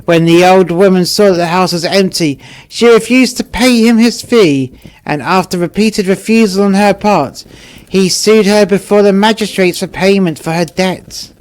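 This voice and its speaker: A man's voice: high voice, moderate pace. A man reading short fables aloud as a scripted storybook narration.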